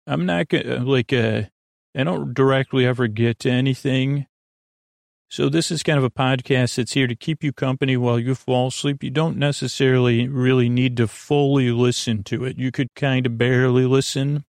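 A man with a mid-pitched, very creaky voice rambles as a sleep podcast host. He is soothing and lulling, drifting between tangents and trailing off mid-sentence. The sound is dry, with no room around it. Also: fairly even intonation, moderate pace, darkish tone, dry recording. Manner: meandering, tangential, trailing off and restarting.

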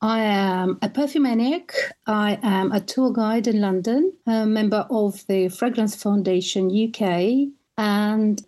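A woman's voice: mid-range voice, dark tone. A woman from Russia with a Russian accent, talking as a podcast guest about her perfume tours, telling stories with enthusiasm and an occasional restart. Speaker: guest, perfume tour guide. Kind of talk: conversational interview.

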